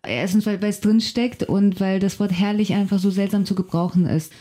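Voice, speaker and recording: Thoughtful writer with a mellow voice. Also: female voice, medium pitch, fairly even intonation, fairly quick. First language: Russian. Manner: thoughtful, reflective, searching for words. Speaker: novelist and translator. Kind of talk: radio interview guest. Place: radio studio.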